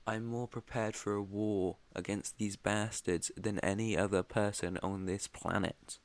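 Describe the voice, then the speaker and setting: A man's voice: on the low side, fairly even intonation, measured pace. A British man playing an ancient vampire master in an ASMR roleplay, proud, protective and tender, with an earnest, slightly formal way of talking. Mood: confident, reassuring. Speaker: roleplay voice performer.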